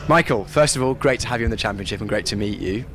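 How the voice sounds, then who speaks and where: Fast-talking male motorsport commentator. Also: on the low side, very noisy. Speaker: motorsport commentator and paddock interviewer. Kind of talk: motorsport commentary and friendly interviewing.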